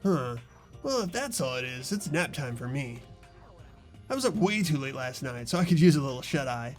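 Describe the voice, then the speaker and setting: A man's voice: medium pitch, very animated, moderate pace, soft background music, natural voice. A man playing an ordinary, earnest and uneasy teenage schoolboy narrating a fan-voiced game. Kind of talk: amateur voiced visual novel narration and dialogue.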